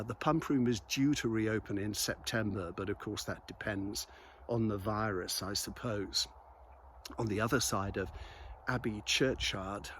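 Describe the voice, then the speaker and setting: An older man talks casually and reflectively in a halting monologue, as a local vlogger out on a walk. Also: fairly low pitch, fairly bright tone, a little hiss or hum. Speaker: local video blogger and commentator. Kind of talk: casual spoken monologue to an audience while out walking.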